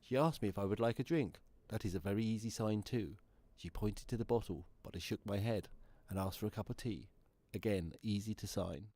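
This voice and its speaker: A man's voice: fairly low pitch, brisk, warm tone. A man acting an earnest deaf man in a radio play monologue, telling his story in simple, literal steps. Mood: earnest recounting. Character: earnest deaf man recounting events plainly. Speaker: actor in a student radio play. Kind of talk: first-person radio drama monologue.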